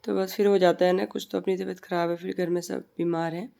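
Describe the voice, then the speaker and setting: Woman in her 30s, throat-sore and husky, rambling chatty voice-over, dark mellow tone. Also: lowish voice, level tone, fast. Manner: chatty, rambling, repeats herself. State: hoarse, heavy voice from a sore throat. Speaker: family vlogger. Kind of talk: casual voice-over narration. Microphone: voice-over recorded separately from the footage.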